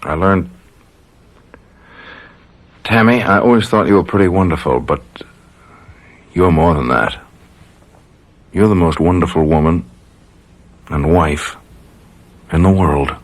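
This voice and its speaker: An actor playing a shaken, blunt and earnest spy courier in a radio drama. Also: male voice, low voice, takes it slowly, long pauses. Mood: tender, earnest. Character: shaken male spy courier, indignant yet devoted husband.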